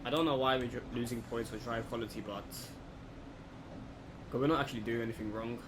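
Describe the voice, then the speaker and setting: Guy doing chill lets play commentary, thinking out loud, medium pitch, noticeable hum behind him. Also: brisk. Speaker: gaming video host. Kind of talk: casual let's play commentary. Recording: clear background noise, steady hum.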